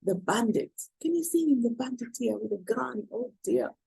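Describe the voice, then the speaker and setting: A woman's voice: on the high side, lively intonation, normal speed, creaky, warm tone, slightly rough, poor audio. A woman reading a picture book aloud to children, expressively and with questions to the listeners. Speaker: children's storyteller.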